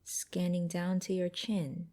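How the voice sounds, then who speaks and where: A woman guiding a mindfulness exercise in a gentle, unhurried, instructive way with pauses between phrases. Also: lowish voice, flat delivery. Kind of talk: guided meditation narration.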